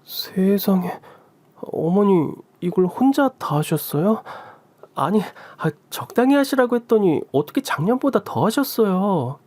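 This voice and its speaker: A tender-hearted daughter-in-law of forty , played by a man in a radio story. The delivery is polite and emotional, gently protesting, with animated pitch and a dry, close sound. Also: a little slow, no room sound, exaggerated voice. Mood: amazed. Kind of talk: Korean radio story acting.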